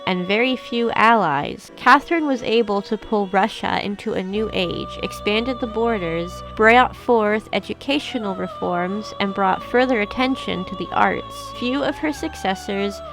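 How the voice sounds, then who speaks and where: Woman narrating history, slightly off from a cold. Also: mid-range voice. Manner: steady, measured reading of a prepared script. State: getting over a cold. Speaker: host of a history video channel.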